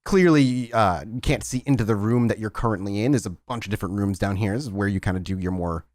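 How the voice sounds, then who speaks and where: A man's voice: lowish voice, fast, a little mellow, no echo, clean studio sound. A man acting as game master, improvising narration and putting questions to players in a tabletop roleplaying game.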